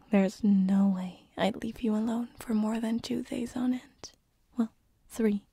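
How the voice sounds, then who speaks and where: Breathy whispering lovesick demon girl played by a woman. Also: on the high side, steady tone, slow pace, no room sound, studio quality, full character voice. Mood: playful, affectionate. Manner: soft, hesitant, tender, with breathy giggles and sighs. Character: gentle lovesick female sleep paralysis demon, succubus, shyly affectionate. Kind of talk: whispered ASMR roleplay. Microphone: close binaural microphone.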